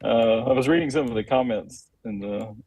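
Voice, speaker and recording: A man's voice: mid-range voice, fairly quick, dark tone, a little hiss or hum, low-quality recording. A man hosting a casual livestream chat as the creator of a comic.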